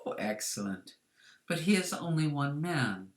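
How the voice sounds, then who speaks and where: A woman voicing an elderly, courteous male crime boss with formal, deliberate speech, in a story reading. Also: low-pitched, animated, echoey room. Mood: careful, probing.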